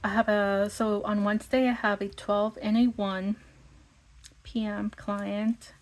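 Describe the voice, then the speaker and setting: A woman with a slightly raspy voice, chatting casually and thinking aloud with lots of fillers as she plans her week. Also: medium pitch, level tone, a little slow. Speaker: vlogger. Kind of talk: casual planning chat.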